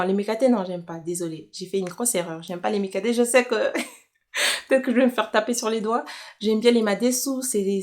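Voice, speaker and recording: A young woman speaks in a casual, chatty vlog style at a middling pitch. She thinks aloud as she answers personal questions, often rephrasing and correcting herself. She comes from Kinshasa and talks like a YouTuber addressing her followers. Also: darkish tone. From Congolese, from Kinshasa, living in Switzerland. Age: young adult.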